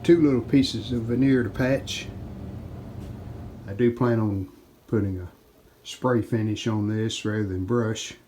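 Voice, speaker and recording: A man casually narrating a hands-on how-to as he works, plain-spoken, unhurried and modest. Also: fairly low pitch. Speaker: restoration hobbyist and video host. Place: home basement workshop. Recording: steady background noise, handling rustle.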